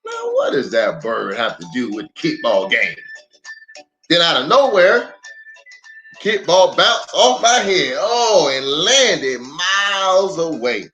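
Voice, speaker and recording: A man reading a children's story aloud in an expressive, dramatic way with deliberate pauses. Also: on the high side. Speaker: storyteller, pastor. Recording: roomy echo, faint music.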